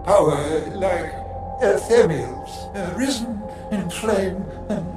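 Ruined old mage scholar for a game, slow rambling poetic talk, high voice, halting. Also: male voice, animated. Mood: awed, halting. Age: older. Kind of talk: dramatic video game acting. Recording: echoing voice over loud music.

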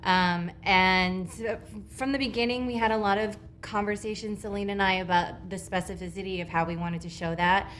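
A woman actor, raised in Los Angeles, answering interview questions in a thoughtful, measured way with pauses and fillers. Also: medium pitch, steady tone, a bit bright, a little hiss or hum. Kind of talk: press junket interview. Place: studio set.

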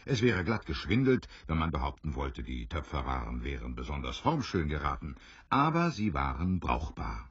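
A man narrating an audio drama like a storybook, measured and expressive in his scripted German storytelling. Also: extremely low pitch, breathy edge, no room sound. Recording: dry, slightly dull studio recording.

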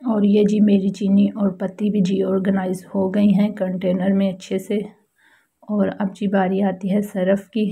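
A woman's voice: mid-range voice, monotone, a little slow, vocal fry, warm tone, slightly rough, low-quality recording. A woman with a Pakistani accent giving a casual how-to voice-over as a home vlogger, explaining things politely and repetitively.

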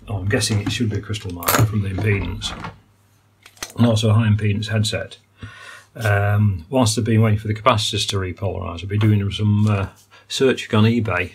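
Older hobbyist, low gravelly voice, thinking aloud at the bench with plenty of uhs, faint hiss behind. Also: male voice. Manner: musing, technical, many ums and uhs. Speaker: electronics repair hobbyist. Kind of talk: hands-on repair walkthrough. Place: workbench indoors.

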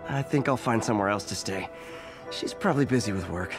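A man's voice: lowish voice, fairly quick, close to an everyday voice. A young man with an American accent acting an earnest, quippy young superhero in a video game cutscene, sometimes hesitant and talking to himself. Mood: musing. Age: young adult. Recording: dramatic music bed behind.

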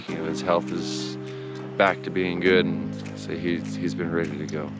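Deep, flat-voiced dad, halting, over loud music. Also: male voice. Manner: low, halting. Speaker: parent. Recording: music under the voice.

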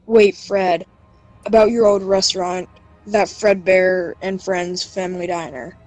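An amateur actor playing an anxious, accusing restaurant worker in a horror audio drama, with halting, stilted delivery. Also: medium pitch, steady tone, darkish tone, faint music behind, lightly acted, poor audio. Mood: suspicious, pressing.

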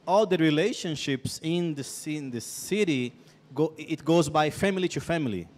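A man from Brazil in his thirties, giving a stage testimony in short phrases on a handheld mic. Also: on the high side. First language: Portuguese. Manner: speaks in short phrases, pausing for his interpreter. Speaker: guest speaker. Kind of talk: testimony with live interpretation. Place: stage in a hall.

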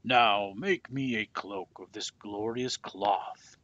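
A man's voice: on the low side, slow, a bit bright, no echo, dull audio. A man voicing a vain, short-tempered storybook king in an imperious, declamatory manner. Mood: commanding. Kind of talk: children's storybook read-aloud.

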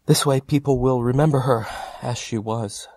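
A man's voice: mid-range voice, fairly even intonation, normal speed, darkish tone, no echo, close to an everyday voice. Man as polite mourner, dry close sound. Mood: composed. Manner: courteous, composed. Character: polite, composed bereaved male funeral client. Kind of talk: audio drama acting.

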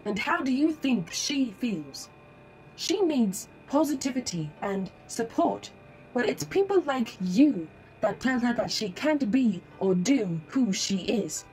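A woman's voice: on the high side, a little mellow, rough recording. A woman playing a polite, formal holographic ad-bot in an audio drama, speaking precisely and matter-of-factly as she lectures. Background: music.

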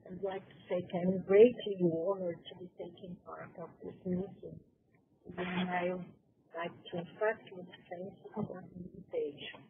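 A woman's voice: on the low side, fairly even intonation, normal speed, a little hiss or hum. A woman from Brazil with a Portuguese accent, carefully reading a prepared conference presentation in English with occasional self-corrections. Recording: tinny phone-like conference audio.

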